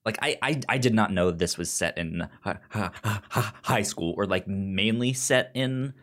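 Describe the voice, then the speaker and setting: A man co-hosting a casual chat show about drama series, speaking haltingly and often stammering over repeated words. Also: fairly low pitch, a bit bright. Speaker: podcast co-host.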